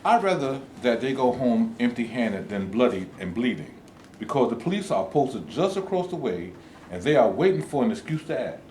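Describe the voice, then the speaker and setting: A man's voice: medium pitch, sing-song, normal speed, a little mellow, natural voice. A man playing a cautious, principled activist leader in a staged play reading, formal and earnest. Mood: cautioning. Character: cautious male civil rights leader, principled, measured. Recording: echoey room with background noise.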